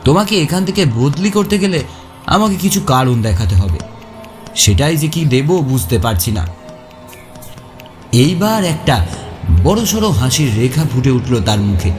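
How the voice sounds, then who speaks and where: Animated male storyteller in long reverb. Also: medium pitch, a little slow, long pauses. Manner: expressive, suspenseful. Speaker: narrator. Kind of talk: dramatic first-person horror story narration. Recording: echoey long reverb, faint music behind. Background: faint music.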